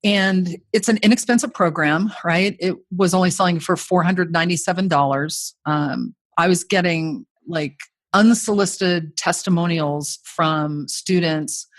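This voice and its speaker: A woman's voice: fairly low pitch, a little slow, no room sound. A woman in her forties, a course creator guest, talking casually and a bit self-deprecatingly, with a voice people call made for radio. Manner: chatty, self-deprecating, frequent "you know". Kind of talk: casual podcast interview.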